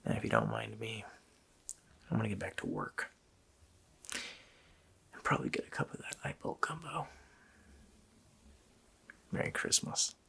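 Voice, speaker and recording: A man voicing an evil, mocking child-snatching Christmas demon in a slow, menacing, breathy rasp for an ASMR roleplay. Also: on the low side, huge pitch swings, pushed and bright, exaggerated voice. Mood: darkly gleeful. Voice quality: breathy, gravelly rasping whisper.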